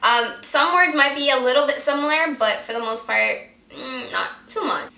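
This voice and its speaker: A young woman vlogger from Guyana talks in a loose, rambling way, mostly with an American accent and traces of a Guyanese one. Her voice is bright and sits a little high. The room around her is echoey. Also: normal speed, creaky. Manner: rambling and chatty, with many fillers and restarts. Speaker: beauty vlogger. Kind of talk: casual vlog chat.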